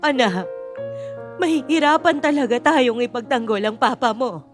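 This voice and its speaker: A woman's voice: on the high side, lively intonation, moderate pace, faint music behind, close to an everyday voice. A woman playing a devoted, distraught middle-aged mother, pleading and tearful, in an audio drama. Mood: despairing.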